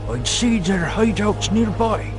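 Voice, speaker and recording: A man's voice: sits high, lively intonation, takes it slowly, rough recording. An actor playing a bereaved, middle-aged working-class coastal man with a folksy, rambling way of talking in a video game. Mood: wary, helpful. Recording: game audio under clear music.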